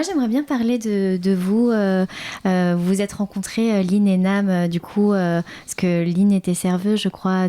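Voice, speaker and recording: A woman's voice: fairly low pitch, mellow, clean tone. A woman hosting a podcast interview, asking questions casually and encouraging the guests with frequent murmurs.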